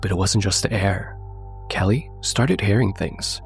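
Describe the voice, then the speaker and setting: A man hosting and narrating a horror story in a measured, suspenseful way, sounding congested from a cold. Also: on the low side, creaky, soft background music. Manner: measured, suspenseful delivery, addressing viewers directly. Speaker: narrator and host.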